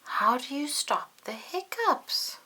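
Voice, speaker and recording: Animated, bright-voiced woman reading a storybook. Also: sits high, slow pace, a little hiss or hum. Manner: animated, dramatic emphasis. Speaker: children's book read-aloud narrator. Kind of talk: expressive storybook read-aloud for young children.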